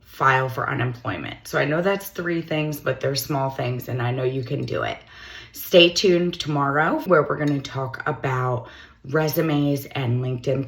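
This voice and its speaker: A middle-aged woman recruiter with a slightly hoarse voice, giving direct, matter-of-fact job-search advice straight to the camera. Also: rumbling low.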